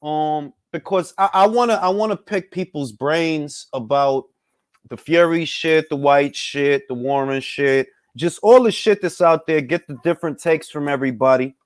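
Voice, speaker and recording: A man's voice: a little high, normal speed, dry recording, studio quality. A young man hosting a casual boxing talk stream, bantering with loose, profane energy. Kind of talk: casual live-stream chat with viewers.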